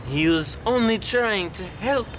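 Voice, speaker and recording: A man's voice: high voice, lively intonation, unhurried, a bit bright, a little hiss or hum, pitched above the performer's own voice. A man voicing a fretful, plaintive cat with a scornful streak for a storybook. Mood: weepy. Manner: wailing, scoffing.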